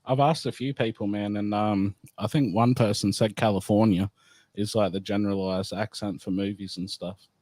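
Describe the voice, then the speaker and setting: A man's voice: fairly low pitch, steady tone, moderate pace, a little mellow, no room sound. A man hosting a casual livestream chat, speaking loosely and swearing freely. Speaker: host.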